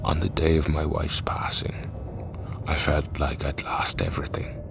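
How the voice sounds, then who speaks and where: A man playing a calm, philosophical cult leader and grieving widower in an audio drama, speaking in a measured, eloquent way. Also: a bass voice, whispered. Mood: grave, confiding. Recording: clear background noise and ambience.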